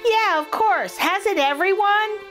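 A middle-aged woman hosting a children's storytime, reading a picture book aloud with expressive, exaggerated intonation. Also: extremely high pitch, slow pace, soft background music. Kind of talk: animated read-aloud for young children.

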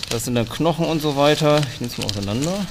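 A man's voice: a little high, lively intonation, quick pace. A man working as a nature guide, casually explaining things to children. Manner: asks questions and echoes children's answers. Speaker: conservationist leading a nature walk. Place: farm estate at night, outdoors and in a barn. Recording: noisy outdoor field recording.

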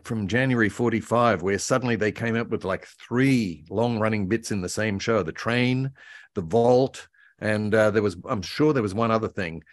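A man's voice: fairly low pitch, normal speed, no echo. An older man with an Australian accent, casually and enthusiastically reminiscing as an interview guest on a video call. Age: older, about 70. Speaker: interview guest, voice actor and author. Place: room at home, on a video call.

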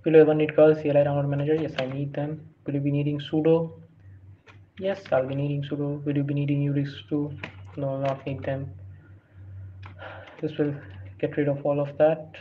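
A man who is not a native English speaker walks through a screen-recorded tutorial, reading prompts aloud with many fillers and restarts. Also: on the high side. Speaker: tutorial host. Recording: dull lo-fi sound with faint hiss.

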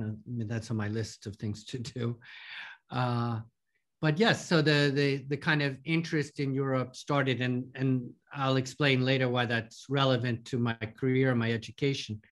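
Podcast guest: fifties, New Yorker with his accent, mid-pitched, hesitant and full of "uh"s. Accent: American, New York. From New York, USA. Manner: hesitant, many fillers and repeated words. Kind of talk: casual interview talk.

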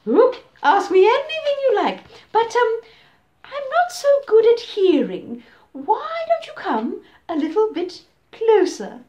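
A crafty storybook crocodile who coaxes his prey closer with slow, syrupy words, as a woman puts him on. His voice sits high and swoops around lazily. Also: huge pitch swings, darkish tone, a little hiss or hum, full character voice. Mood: sly. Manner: drawn-out, coaxing, sly. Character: sly male crocodile luring its prey.

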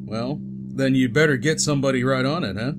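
A man's voice: mid-range voice, a little fast, music in the background, close to an everyday voice. A man playing a proud, sarcastic, resentful police detective in a comic-book dub. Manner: needling, blunt.

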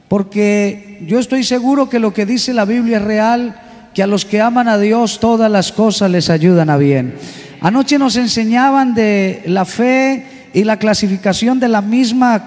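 A middle-aged man preaching a fervent Pentecostal sermon into a handheld mic on a large church stage, rising to shouts. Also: extremely high pitch. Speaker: pastor. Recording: long hall reverb.

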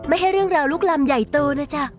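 Woman as a very high-voiced gentle anime girl. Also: very fast, soft background music, natural voice. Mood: shy. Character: gentle, apologetic young noble girl, shy and sweet. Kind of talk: Thai anime dub acting.

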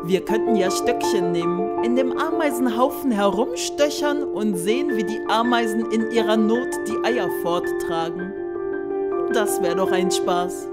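Woman acting out loud, scornful older fairy-tale prince. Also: mid-range voice, unhurried, loud music behind the voice, lightly acted. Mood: gleefully scheming. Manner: scornful, scheming. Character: two mocking, reckless older prince brothers.

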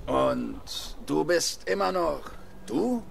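A man's voice: very animated, slow, gravelly, heavily acted. A man playing a sly, wary, streetwise drifter in a video game, drawling casually. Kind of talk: dramatic video game dialogue. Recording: noisy, with ambient rumble behind.

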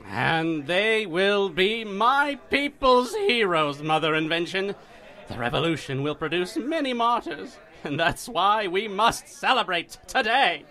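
A man's voice: high voice, sing-song, measured pace, bright, forceful tone, heavily acted. An actor playing a boisterous, grandiose male revolutionary in an audio drama.

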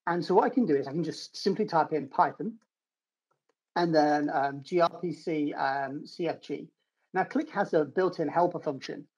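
A middle-aged British man with a husky voice explains a technical demo step by step in an informal screen-share tutorial. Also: on the high side, moderate pace, darkish tone, low-quality recording. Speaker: developer advocate giving a technical demo. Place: home room, indoors.

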